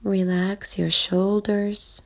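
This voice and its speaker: A woman leading a guided body scan meditation in a calm, soothing way, speaking in short soft phrases with long pauses and a breathy edge. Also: medium pitch. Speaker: meditation guide, life coach. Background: background music.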